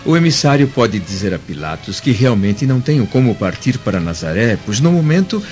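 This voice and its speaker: A man's voice: mid-range voice, sing-song, fairly quick, darkish tone, lightly acted. A Brazilian man playing a proud, wary Roman senator in a radio drama, formal and commanding. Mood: firm, defiant. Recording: muffled audio with music behind.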